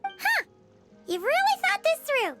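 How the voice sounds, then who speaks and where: A woman voicing a small, childlike fairy companion in a video game, cheerful, curious and excitable, with a squeaky voice. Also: slow, heavily acted. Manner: speaks of herself in third person, exclamatory. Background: loud background music.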